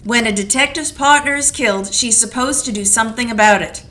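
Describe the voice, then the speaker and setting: A woman's voice: fairly high pitch, a little fast, pushed and bright, a little hiss or hum. A woman voicing a hard-boiled female private detective with a clipped, blunt manner, in a community radio play. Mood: accusing.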